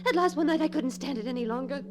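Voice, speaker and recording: High female voice, actress playing pleading wife, melodramatic, ghost-story radio play, faint old-recording noise. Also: fast, natural voice. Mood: troubled narrating. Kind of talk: 1940s radio acting.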